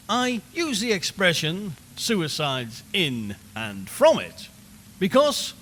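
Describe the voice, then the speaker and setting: A man's voice: high-pitched, a bit bright, heavily acted. A man playing an earnest, showy radio reporter in an audio drama, narrating formally and theatrically in an old-fashioned broadcast style. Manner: theatrical, formal, deliberate storytelling. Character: earnest, showy male radio reporter hosting a ghost hunt. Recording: long reverberant hall, faint noise.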